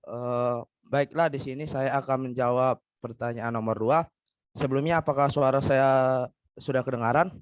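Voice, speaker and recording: A man's voice: medium pitch, steady tone, moderate pace, smooth, no room sound, dull audio. A male student explaining his answer in a class debate over a video call, with a slight cough and many fillers. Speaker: student presenter.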